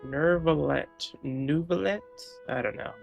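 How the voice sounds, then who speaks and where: A woman's voice: low-pitched, slow, creaky, lo-fi sound, music in the background. A woman gamer reacting casually to a video game she is playing.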